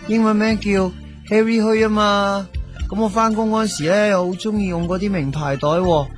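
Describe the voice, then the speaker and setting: Jokey Hong Kong Cantonese radio host. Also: male voice, high-pitched. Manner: jokey, chatty, stammering gags. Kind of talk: comic radio drama narration.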